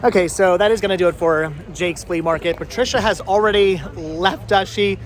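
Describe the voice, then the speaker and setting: High-voiced, chatty man vlogging. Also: moderate pace. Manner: chatty, rambling, repeats words for emphasis. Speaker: vlogger host. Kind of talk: casual vlog chat to camera and friends.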